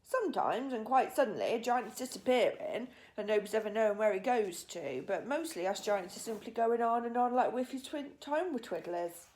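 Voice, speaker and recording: A woman's voice: on the high side, animated, moderate pace, hardly any pauses, darkish tone, close to an everyday voice. A woman voicing a big, friendly old male giant from a children's storybook, speaking emphatically in mangled grammar with invented words. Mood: amused, assertive. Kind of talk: children's storybook character reading.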